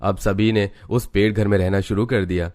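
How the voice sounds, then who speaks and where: A man's voice: on the low side, steady tone, normal speed, darkish tone. A man narrating a family story in a scripted storybook style.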